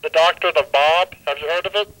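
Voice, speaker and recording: A man's voice: a little high, steady tone, brisk, fairly bright tone, rough recording. A young man prank calling a show by telephone, posing as a viewer with a question. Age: young adult. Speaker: prank caller. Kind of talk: prank call posed as a question.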